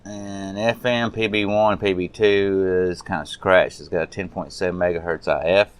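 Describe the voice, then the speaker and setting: A man's voice: low-pitched, steady tone, moderate pace, clear, resonant tone. A man giving a casual, unscripted hands-on walkthrough as a hobbyist, muttering to himself in folksy, informal speech as he works. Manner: unscripted, muttering to self while working. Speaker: radio restoration hobbyist, presenter.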